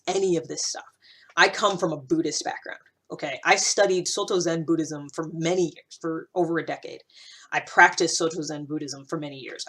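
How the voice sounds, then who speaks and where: A woman host talking through ideas in a casual, reflective monologue, conversational and emphatic, repeating phrases to drive them home. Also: deep voice. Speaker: host of a personal development podcast.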